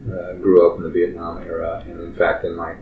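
A man in his sixties, a clinical social worker, answering interview questions in a steady, matter-of-fact way with many fillers. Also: low-pitched, brisk. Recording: roomy, distant, muffled recording with faint background noise.

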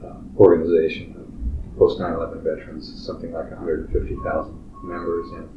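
A man in his sixties, a clinical social worker, answering interview questions in a steady, matter-of-fact way with many fillers. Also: deep voice, brisk. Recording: roomy, distant, muffled recording with faint background noise.

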